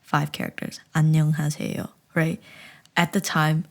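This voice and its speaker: A woman's voice: low-pitched, level tone, fast, creaky. A young woman vlogging in a casual, chatty way, telling a story with self-mocking humor and many restarts.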